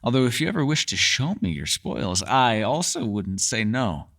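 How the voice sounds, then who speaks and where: Man voicing a theatrical, secretive elf with lively intonation. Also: medium pitch, normal speed, creaky. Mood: intrigued, teasing. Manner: theatrical, playful, slightly formal phrasing. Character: mysterious male elf, erudite, teasing, secretive. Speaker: voice actor in an ASMR roleplay. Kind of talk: fantasy ASMR roleplay acting.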